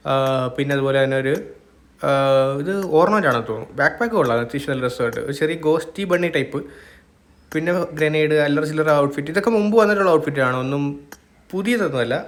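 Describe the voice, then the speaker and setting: A man with a Malayali accent giving casual voice-over commentary as host of a gaming video. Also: medium pitch.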